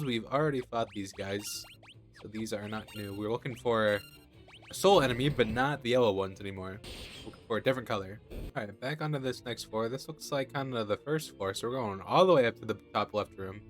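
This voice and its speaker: A man with a cold, sounding nasal, casually commenting as he plays a video game. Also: medium pitch. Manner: relaxed, chatty, thinking aloud. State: has a cold. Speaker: gaming channel host.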